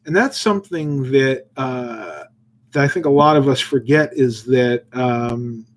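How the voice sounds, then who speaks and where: A man's voice: medium pitch, a little mellow. A man hosting a livestream, reading Bible verses aloud and then musing loosely about them with fillers, and answering viewers' questions. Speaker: livestream host. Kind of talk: casual devotional livestream chat.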